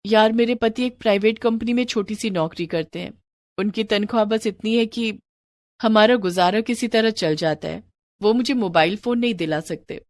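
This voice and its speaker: A woman's voice: mid-range voice, moderate pace, no room sound, natural voice. A woman voicing a humble, apologetic and dutiful young daughter-in-law in a family moral story. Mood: embarrassed, apologetic.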